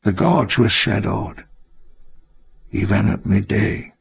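An actor playing a wistful elderly park founder, narrating his memoir in measured, reflective storytelling. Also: on the low side, vocal fry, exaggerated voice. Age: older. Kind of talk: scripted memoir narration in a game.

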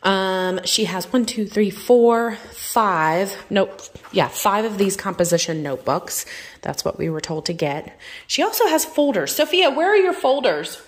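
A woman's voice: mid-range voice, animated, unhurried. A woman in her thirties, a mom and vlogger, chatting casually at her kitchen counter with lots of fillers. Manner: chatty, rambling, filler-heavy. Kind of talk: casual show-and-tell vlog. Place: home kitchen. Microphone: phone camera held over a countertop.

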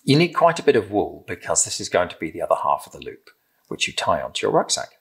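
A middle-aged British man with a low, slightly husky voice presents a craft demonstration. His delivery moves up and down with lively intonation as he gives simple, encouraging step-by-step instructions in a gentle manner. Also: fast talker. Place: indoor room with timber-framed walls, at a table.

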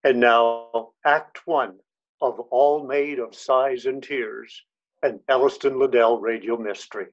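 A man's voice: medium pitch, steady tone, slow, lo-fi sound. A man giving a theatrical announcement for a radio play.

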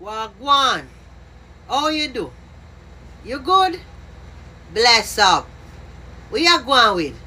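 High, bright, animated man. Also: drawn-out words, long pauses, slight background noise. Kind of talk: exclaimed slang demonstration for short video.

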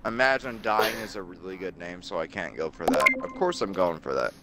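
A man's voice: sits low, brisk, crowd noise. A young man streaming an online game, joking around crudely with plenty of swearing in casual chat.

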